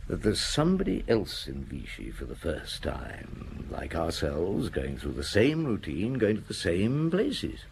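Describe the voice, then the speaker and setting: A shrewd middle-aged detective in an audio drama, with a low voice that moves expressively. He muses step by step with dry humour, over faint background noise. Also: huge pitch swings, a little slow, gravelly, few pauses, a little mellow, full character voice. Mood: thoughtful. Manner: musing, methodical, dryly humorous.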